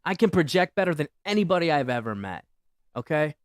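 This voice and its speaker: A young man hosting a solo livestream, rambling and boasting loudly about himself. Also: a little high, sing-song, a little fast, no echo, clean studio sound. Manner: boastful, rambling, self-aggrandizing. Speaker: livestream host.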